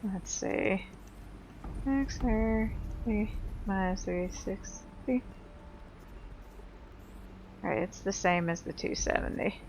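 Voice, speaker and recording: A woman's voice: medium pitch, slow, pauses often, darkish tone, slight background noise, poor audio. A woman giving casual video game commentary, thinking aloud in an informal, self-deprecating way. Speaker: video game player and host of a playthrough.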